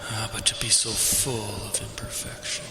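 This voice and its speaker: An actor playing a plaintive, sly male spirit of the cold in an audio drama, with drawn-out, sighing, theatrical delivery that sometimes drops to a whisper. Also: on the low side, little pitch movement, crowd noise. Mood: brooding, wistful. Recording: roomy sound with clear background noise.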